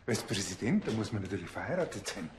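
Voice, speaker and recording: An actor playing a blunt, cheeky police officer with a Bavarian accent and colloquial speech. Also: male voice, fairly low pitch, very animated, fast, background noise. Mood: brusque.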